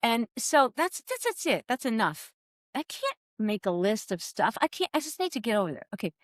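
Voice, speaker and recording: Woman, sixties, animated interview guest, acts out quotes, higher pitch, dry recording. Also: moderate pace, no room sound. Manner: lively, acts out quoted dialogue. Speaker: former cycling team soigneur, guest.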